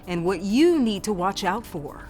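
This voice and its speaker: A woman's voice: mid-range voice, very animated, measured pace, darkish tone, soft background music. A woman with an American accent presenting broadcast news as an anchor.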